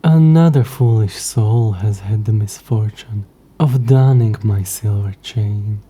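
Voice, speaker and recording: A man voicing a smug, coy, centuries-old demon in an audio roleplay, speaking in a hushed, breathy voice with haughty, old-fashioned, taunting phrasing. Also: lowish voice, takes it slowly. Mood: menacing, taunting. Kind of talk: scripted audio roleplay addressed to the listener.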